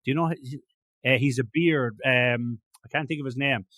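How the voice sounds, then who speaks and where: A man's voice: mid-range voice, normal speed, bright, forceful tone, no echo. A man with an Irish accent hosting a casual interview, rambling with many restarts and fillers. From Ireland. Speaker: podcast host.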